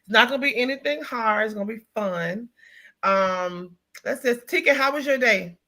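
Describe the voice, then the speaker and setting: A middle-aged woman hosts a casual talk show, her voice bright and ringing. She chats easily, teases her co-hosts and laughs often. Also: a little high, animated, normal speed. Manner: laughing, teasing, chatty. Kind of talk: casual chat.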